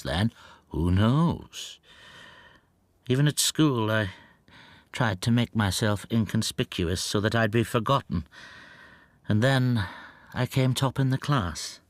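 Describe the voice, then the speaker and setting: A subdued, wounded stamp dealer talks in a soft, brooding murmur. The voice sits a little low, in a radio drama style. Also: male voice, dry recording. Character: timid foreign stamp dealer, quiet, wounded.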